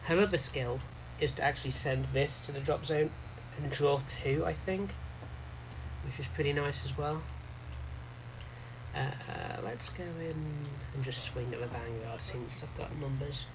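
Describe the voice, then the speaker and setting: A man's voice: mid-range voice, unhurried. A man streaming a card game live, chatting casually, thinking aloud over his moves and answering chat. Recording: noisy background ambience.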